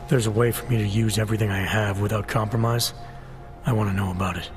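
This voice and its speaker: An actor playing a stoic, brooding augmented agent in a video game, gravelly, terse and deadpan. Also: male voice, fairly low pitch, fast talker, natural voice. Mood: terse, cool. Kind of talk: video game cutscene acting. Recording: loud music behind the voice.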